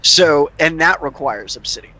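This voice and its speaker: A man in casual conversation during a tabletop roleplaying game, with a husky, sharp-edged voice. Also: a little high, expressive, moderate pace, low-quality recording.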